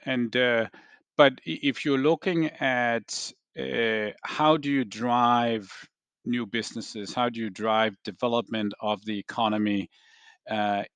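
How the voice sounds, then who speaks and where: A man's voice: medium pitch, fairly bright tone, dry recording. A Danish analyst on a business podcast, feeling his way through an explanation word by word in a dry-sounding room. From Denmark. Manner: halting, repeats words as he thinks. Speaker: research analyst, interviewee. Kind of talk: explanatory discussion.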